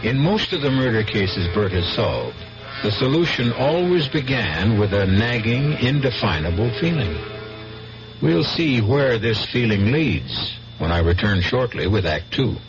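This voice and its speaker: A man narrates over music on a muffled old radio recording. He is a 1970s mystery host, deliberate and suspenseful, with a widely moving pitch. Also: very animated.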